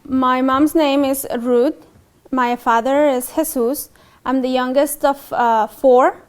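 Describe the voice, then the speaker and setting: A woman from Mexico who speaks English with a Mexican Spanish accent. Her voice sits a little high. She tells her own story slowly and haltingly, stopping often on fillers and false starts. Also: fairly even intonation, clean tone. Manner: hesitant, many fillers and restarts. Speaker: interviewee. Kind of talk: documentary interview, personal story.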